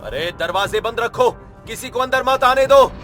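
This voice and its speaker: A man voicing a loyal, anxious warrior chief in an animated story, dramatic and hesitant. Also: very high voice, normal speed, soft background music. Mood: alarmed.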